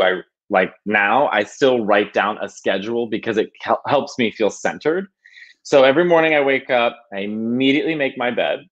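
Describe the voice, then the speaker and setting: A man as a podcast guest, talking casually in a halting, self-conscious way with many restarts. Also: fairly low pitch, a bit bright, dull audio. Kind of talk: casual interview chat.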